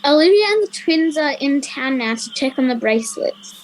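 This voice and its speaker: A woman's voice: sits high, lively intonation, normal speed. A girl of ten or eleven, playing a chatty, curious schoolgirl in a radio play. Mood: puzzled. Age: child, 10 to 11. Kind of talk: children's radio play acting. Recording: faintly noisy home video-call recording.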